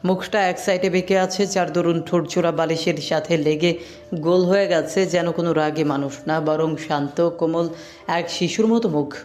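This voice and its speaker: Storyteller for a scripted audio romance, mid-pitched narration over background music. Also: steady tone, normal speed, a little mellow.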